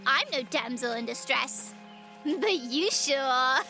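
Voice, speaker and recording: Really high little girl voice, cheeky and upbeat, adventure radio play with music behind. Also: lively intonation, takes it slowly, sharp tone, heavily acted. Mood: playful, teasing. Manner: cheeky, matter-of-fact. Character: fearless, optimistic seven-year-old girl adventurer, teasing. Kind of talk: audio drama acting.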